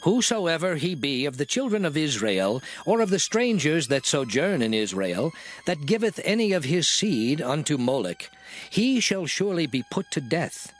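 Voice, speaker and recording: An actor playing God as a solemn lawgiver in a dramatized scripture reading, speaking in a measured, declamatory manner. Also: male voice, on the high side, big pitch swings, soft background music, rough recording. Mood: stern, condemning.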